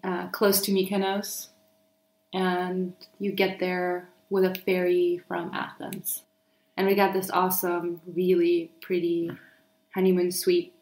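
A woman in her late twenties with a slightly low voice chats in a roomy, echoing kitchen. She answers casually and plainly, in a matter-of-fact manner. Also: completely flat delivery, slow, a little mellow. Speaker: partner being interviewed. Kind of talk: casual home conversation. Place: kitchen, at the table during a meal. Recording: roomy echoing home recording.

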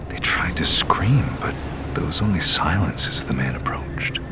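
A man's voice: very deep voice, animated, moderate pace, whispered. An actor playing a tormented, parched man who narrates a nightmare in an audio drama, grave and brooding. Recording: loud music under the voice.

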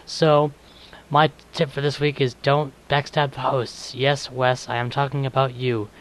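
A man leaving a casual, unedited voice message with stutters and long pauses. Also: medium pitch, little pitch movement, fairly bright tone. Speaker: listener sending a voice message. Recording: hissy home-recorded audio message.

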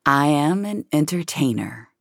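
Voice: mid-range voice, animated, a little slow, gravelly, fairly bright tone, no room sound, studio quality, voice pushed up. A narrator voicing a bitter, apologetic male celebrity entertainer in an audio drama. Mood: weakly apologetic.

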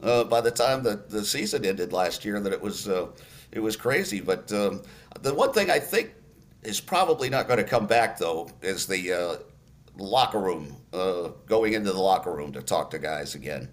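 An older man with a somewhat low voice talks in a relaxed interview. He's a radio play-by-play announcer who tells stories at an easy pace, circling back with fillers and restarts. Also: normal speed. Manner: storytelling, many fillers and restarts.

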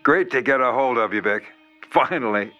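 A man's voice: mid-range voice, expressive, moderate pace, sharp tone, highs cut off, soft background music. A man playing a self-assured horror author in an audio drama, chummy and breezy with a faintly sinister, controlling edge. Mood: breezy, teasing.

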